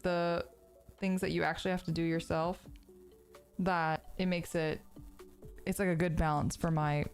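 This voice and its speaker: A woman's voice: on the low side, darkish tone. A woman in her thirties, a streamer chatting casually while she crafts, sounding sniffly and congested, rambling with lots of fillers and swearing. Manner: rambling, profane, filler-heavy.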